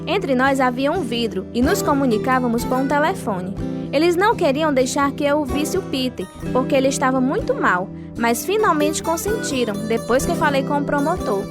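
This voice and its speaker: High-voiced, devout, anguished wife. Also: female voice, animated, moderate pace. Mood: solemn narrating. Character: devout, anguished wife and mother of a Soviet prisoner. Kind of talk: radio drama narration and dialogue. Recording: music playing behind the voice.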